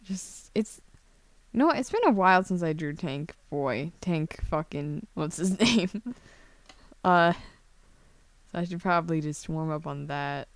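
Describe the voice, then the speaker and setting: A woman's voice: low voice, animated, a little slow, pauses often, dry recording. A young woman chatting casually on a drawing livestream, rambling hesitantly with frequent swearing and self-deprecation. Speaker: streamer. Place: indoors at a computer, screen-share livestream.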